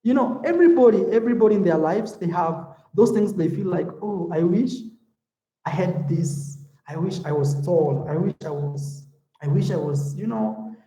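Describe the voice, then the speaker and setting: A man's voice: sits high, moderate pace, echoey room, poor audio. A man from Africa chatting casually on a livestream, reading out viewer comments and calling viewers "man" and "bro". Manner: relaxed and talkative, calls viewers "man" and "bro". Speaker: YouTube vlogger.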